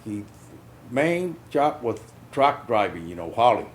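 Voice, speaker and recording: An older man from South Texas recalling his youth in a halting way, often repeating words. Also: mid-range voice, big pitch swings, a little hiss or hum. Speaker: interviewee, veteran. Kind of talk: casual recollection.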